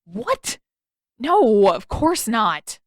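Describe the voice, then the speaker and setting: A woman's voice: fairly high pitch, very animated, drawn-out words, dry recording, studio quality, heavily acted. A woman voicing a vain, defensive teenage boy in an audiobook story, casual and exasperated in manner. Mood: evasive, defensive.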